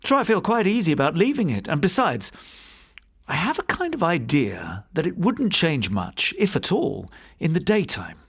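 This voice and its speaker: A man's voice: on the high side, very animated, moderate pace, vocal fry, fairly bright tone, breathy edge, voice pushed up, exaggerated voice. Guy playing a polite educated gent in an audiobook, easy and curious, voice swoops up and down. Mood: confident, reasoning. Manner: polite, easy, well-spoken. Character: courteous, curious educated gentleman scholar, master to a servant. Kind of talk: audiobook character voice.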